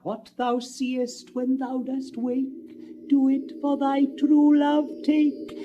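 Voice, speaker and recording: A fairy spell-caster chanting a charm at a very slow pace. The voice is high and dark, with faint ambience behind. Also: female voice, completely flat delivery, lo-fi sound, a little hiss or hum. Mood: incanting.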